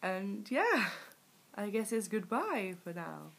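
A woman in her twenties, croaky from a cold, chatting casually in a home video diary with lots of ums, recorded on a webcam in a small room. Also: medium pitch, big pitch swings, slow. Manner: chatty, rambling, many ums. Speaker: vlogger. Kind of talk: casual weight-loss diary chat. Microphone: webcam at arm's length.

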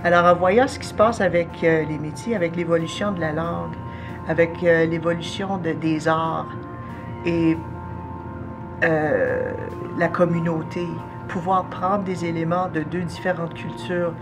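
A low-voiced woman in her forties with a Canadian French accent speaks in a documentary interview, thinking aloud with pauses and fillers. Clear music plays beneath her voice, recorded indoors in a studio room. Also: steady tone. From Ontario, Canada. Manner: thoughtful, many pauses and fillers. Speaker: artist interviewed. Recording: music bed under voice.

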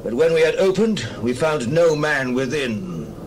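An actor playing an urgent temple officer giving a formal report in a dramatized Bible reading. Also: male voice, fairly high pitch, sing-song, measured pace, a little hiss or hum.

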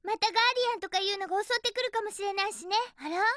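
A woman voicing a cheerful, feisty young female hedgehog in a Japanese video game, with a perky, girlish and expressive delivery. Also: extremely high pitch, rapid-fire, no echo, clean studio sound. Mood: perky, determined.

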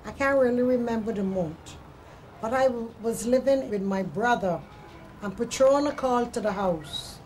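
A woman's voice: a little high, moderate pace, darkish tone, poor audio. An older woman, reminiscing in a documentary interview. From Barbados. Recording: faint background noise.

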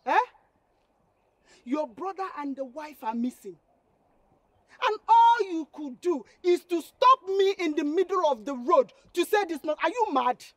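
A woman's voice: extremely high pitch, very animated, a little slow, full character voice. A young woman, scolding and indignant in a comic skit.

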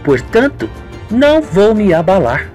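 A woman's voice: mid-range voice, big pitch swings, measured pace, warm tone, soft background music, voice pushed up. A narrator voicing a scheming, two-faced young woman in a radio soap, with feigned sorrow and haughty defiance. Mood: defiant. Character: scheming, falsely sweet young woman villain.

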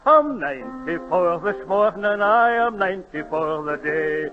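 A man's voice: high-pitched, sing-song, a little slow, music in the background. A man in his sixties performing a comic Scottish song on 1930s radio. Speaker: amateur singer of Scotch songs. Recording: tinny, narrow 1930s radio broadcast.

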